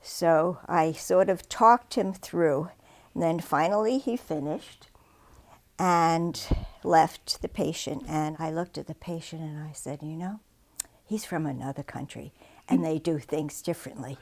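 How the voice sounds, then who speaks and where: An older woman from Brooklyn, a guest telling stories in an interview. She tells her stories with lively anecdotal energy. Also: deep voice, unhurried. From Brooklyn, New York. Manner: lively anecdotal storyteller, laughing often. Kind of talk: casual storytelling.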